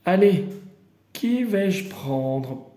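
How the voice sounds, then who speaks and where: A man's voice: high voice, expressive, very slow, dark tone. A man from the Paris region doing a casual, improvised card reading. He rambles in a familiar way and talks straight to his viewer. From Paris region, France. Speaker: card reader hosting daily guidance videos. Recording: roomy echoey room.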